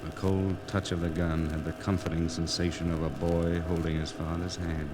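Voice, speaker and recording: Crackly 1940s radio disc, a man acting a low-voiced hard-boiled reporter, terse, wry, determined noir narration. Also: little pitch movement, normal speed, close to an everyday voice. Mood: tense, brooding. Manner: terse, clipped, dramatic phrasing.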